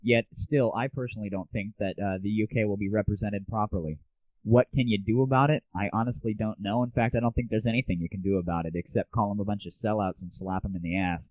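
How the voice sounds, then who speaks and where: Dude doing casual gaming news, slangy and opinionated, sounds like a phone line, dry. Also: male voice, fairly low pitch, fairly even intonation, quick pace, no echo. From United States. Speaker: host of an online gaming news show. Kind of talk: casual solo news commentary. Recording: muffled phone-like lo-fi audio.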